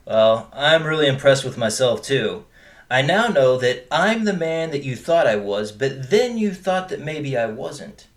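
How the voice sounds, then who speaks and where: An actor playing a wry, self-deprecating boyfriend with dry humour in audio drama dialogue. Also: male voice, mid-range voice, expressive, a little slow, close to an everyday voice. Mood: awkward, tender.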